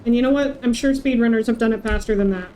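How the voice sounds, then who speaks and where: A woman's voice: fairly high pitch, fast, darkish tone, slight background noise. A woman streaming a game with casual, playful, sweary chat, often talking to herself. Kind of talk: casual livestream gaming chat.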